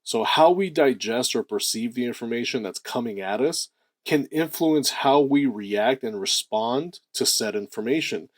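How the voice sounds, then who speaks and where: A man with a deep voice giving a motivational talk as a self-improvement host, animated, stressing key words and drawing out phrases. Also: no echo.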